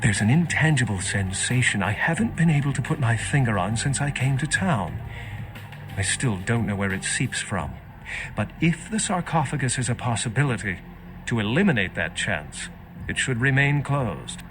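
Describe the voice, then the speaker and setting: An actor playing a cautious, learned vampire scholar in a video game, speaking in an earnest, measured, erudite way. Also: male voice, medium pitch, sing-song, noisy background, lightly acted. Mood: uneasy, earnest.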